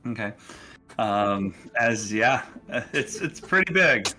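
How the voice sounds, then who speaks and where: A man narrating a tabletop game casually as game master, halting and thinking aloud as he goes. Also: lowish voice, expressive, bright, forceful tone, soft background music.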